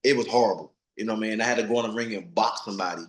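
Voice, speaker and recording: A man's voice: on the low side, racing, reverberant. 40 yr old guy, ex boxer, blunt and streetwise, repeats stuff, voice bouncing around the room. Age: 40s. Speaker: guest, retired boxer. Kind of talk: candid interview answers.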